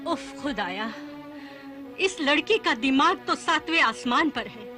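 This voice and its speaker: A woman's voice: high-pitched, expressive, slow, pushed and bright, faint music behind, heavily acted. An actress playing an exasperated, imperious noble mother in a period serial, haughty and scolding. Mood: exasperated, stern. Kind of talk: formal Urdu-flavoured period serial acting.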